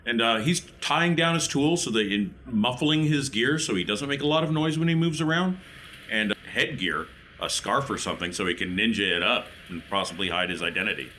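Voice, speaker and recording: A man's voice: mid-range voice, brisk, pushed and bright, a little hiss or hum. A man playing a tabletop roleplaying game, talking through his character's plans in casual, improvised chat.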